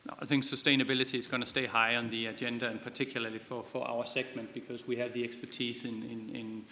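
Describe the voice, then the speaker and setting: Gravelly flat-voiced guy, slow with lots of ums, echoey hall, faint room hum. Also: medium pitch. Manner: measured, many fillers. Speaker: shipping company CEO on a panel. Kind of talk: conference panel talk. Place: conference hall.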